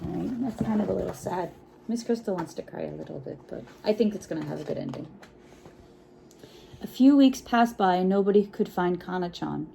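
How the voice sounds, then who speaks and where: A woman reading a picture book aloud to children in an expressive, encouraging way, with chatty asides and frequent check-in questions. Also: medium pitch, gravelly, a little hiss or hum, rough recording. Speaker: children's storytime reader.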